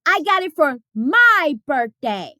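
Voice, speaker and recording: A woman voicing an excitable, stubborn small girl in a storybook, with an emphatic, whiny delivery. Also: high voice, slow, no echo, studio quality, voice pushed up, full character voice. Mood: defiant.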